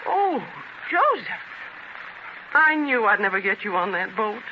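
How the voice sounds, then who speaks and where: An actress playing a shrewd, affectionate, teasing wife who gently chides her husband in a 1930s radio drama. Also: medium pitch, measured pace. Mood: chiding. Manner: expressive, gently chiding. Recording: noisy, dull 1930s radio transcription.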